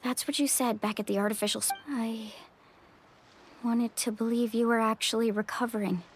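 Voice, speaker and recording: A woman's voice: on the high side, fairly even intonation, normal speed, slight background noise, close to an everyday voice. A woman voicing a caring, loyal young warrior, earnest and emotional, in a dramatic video game style. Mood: hurt, worried.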